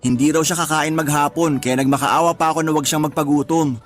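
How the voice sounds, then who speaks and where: A man's voice: on the high side, fairly even intonation, fairly quick, faint music behind, natural voice. An actor playing an exasperated man who complains about his pregnant partner in colloquial radio drama dialogue. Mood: exasperated recounting.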